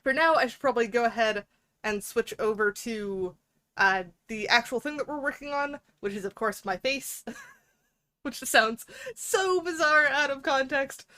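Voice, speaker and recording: A woman's voice: fairly high pitch, a little slow, sharp tone, dry recording. A woman hosting a livestream, chatting casually with viewers in a playful, giggly, rambling way. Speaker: livestream host.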